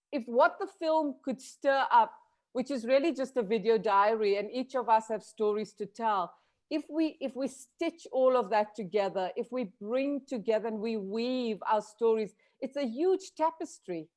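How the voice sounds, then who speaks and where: A woman's voice: a little high, a little mellow. A woman from South Africa answering questions on a video call in an earnest, grateful way, with frequent restarts. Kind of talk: reflective panel discussion.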